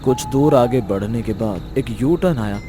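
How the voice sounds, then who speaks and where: A man's voice: mid-range voice, normal speed, warm tone. Man narrating horror story over music. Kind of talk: scripted first-person horror story narration.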